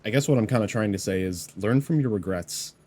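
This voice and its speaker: A young man in his mid-twenties speaks to camera with a low, deep voice that sounds a little congested from a cold. He rambles earnestly and reflectively, leaning on frequent "you know" fillers. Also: fairly quick, warm tone, slightly rough. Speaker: YouTuber. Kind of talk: reflective personal vlog talk.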